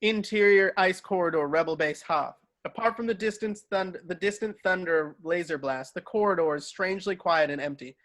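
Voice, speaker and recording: Guy reading script directions out loud, slightly high voice, narrator at table read. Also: moderate pace, few pauses.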